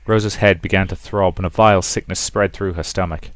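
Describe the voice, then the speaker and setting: A man's voice: low voice, steady tone, fairly quick, no room sound. A man hosting a let's play, reading visual novel narration and adding casual commentary.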